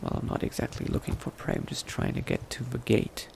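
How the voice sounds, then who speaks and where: Man playing a hushed, gravelly, cautious vampire. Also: on the low side, huge pitch swings, brisk, heavily acted. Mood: wary, furtive. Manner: hushed muttering, often dropping to a whisper. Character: newly turned male vampire, cautious, coldly pragmatic. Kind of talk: in-character roleplay narration, thinking aloud. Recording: noticeable background noise.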